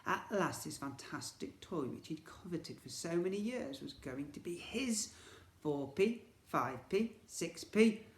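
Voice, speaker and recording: A man reading a children's story as a teacher would, with dramatic, expressive narration. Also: fairly high pitch, measured pace, a little hiss or hum. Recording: echoey room.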